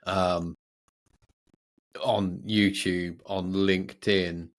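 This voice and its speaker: Low-pitched man chatting casually. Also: flat delivery, drawn-out words. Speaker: podcast co-host. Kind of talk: casual podcast chat.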